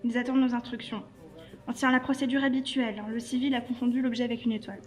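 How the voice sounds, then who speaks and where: Woman acting a high-voiced, stern intelligence chief. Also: level tone, fast talker, lightly acted. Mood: irritated, commanding. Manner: authoritative, brusque. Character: stern, impatient female intelligence chief under pressure. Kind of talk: French audio fiction dialogue.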